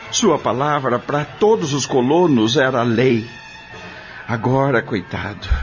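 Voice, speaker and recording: A man acting an earnest, anguished farm worker in an audio drama, with emotional, emphatic, plain country speech. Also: on the high side. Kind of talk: dramatic radio-novela acting. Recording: dull lo-fi audio drama recording. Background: prominent background music.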